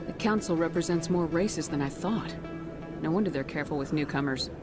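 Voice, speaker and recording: An actress playing a tough, blunt military commander in a video game, firm and no-nonsense. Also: female voice, low voice, fairly quick, music in the background, lightly acted. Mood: composed, firm. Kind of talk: video game cutscene acting.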